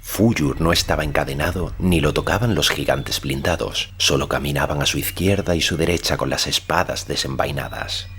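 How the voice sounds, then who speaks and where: A man narrating a literary audiobook in a measured, solemn storytelling manner. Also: extremely low pitch, fairly bright tone, slight background noise. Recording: faint music behind the voice.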